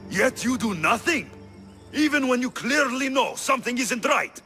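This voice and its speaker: An actor playing a capable, earnest Greek mercenary hero in a video game cutscene. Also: male voice, very high voice, lively intonation, normal speed, pushed and bright, soft background music, full character voice. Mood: challenging.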